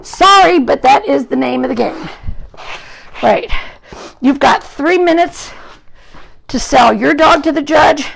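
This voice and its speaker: A hoarse woman groomer with a gravelly voice, chatting to live-stream viewers while trimming a dog in a grooming salon, recorded on a phone. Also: high-pitched, expressive, slow, long pauses, low-quality recording. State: hoarse from an illness. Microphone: phone camera propped at the grooming table.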